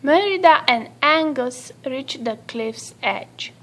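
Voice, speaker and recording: A woman reading a children's story aloud in an expressive storytelling manner. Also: high voice, slow pace. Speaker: storybook reader.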